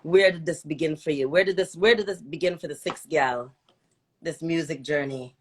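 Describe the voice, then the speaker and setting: A woman's voice: deep voice, normal speed, a little hiss or hum. A woman hosting a casual live-stream interview, encouraging and affirming, often repeating her phrases as she goes. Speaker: host, interviewer.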